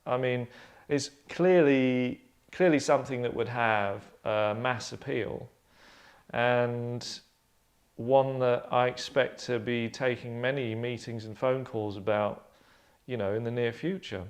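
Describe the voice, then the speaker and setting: Man, 30s, mid-pitched, casual and dry, rambling host in a relaxed group discussion. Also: takes it slowly. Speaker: writer and host. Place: furnished indoor lounge.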